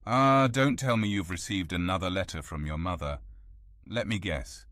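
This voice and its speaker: A man playing an affectionate, measured older father in an audiobook drama. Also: deep voice, fairly bright tone, no room sound, studio quality. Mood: wry, resigned. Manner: tender, measured. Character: affectionate big older father of grown children.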